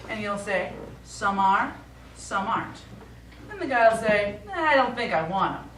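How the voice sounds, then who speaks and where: A woman's voice: mid-range voice, animated, unhurried, fairly bright tone. An actress on stage playing the exasperated but caring adult daughter of a forgetful father, speaking in an insistent, pointed way. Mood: joking. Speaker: stage actor. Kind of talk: live one-act stage play acting. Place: small theatre stage. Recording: echoey theatre, distant mic, faint noise.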